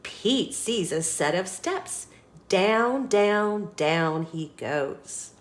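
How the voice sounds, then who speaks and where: A woman reading a children's picture book aloud as a storytime host, expressive and dramatic, putting questions to young listeners. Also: mid-range voice, very slow.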